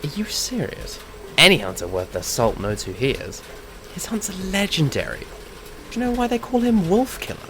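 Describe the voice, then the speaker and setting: An actor playing a brash, teasing monster hunter and comic book nerd in an audio drama. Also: male voice, high voice, sing-song, normal speed, background noise. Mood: amazed.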